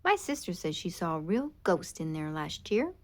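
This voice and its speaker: A woman's voice: lowish voice, very animated, normal speed. A woman voicing a timid, jumpy young boy rabbit in a storybook. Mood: nervous.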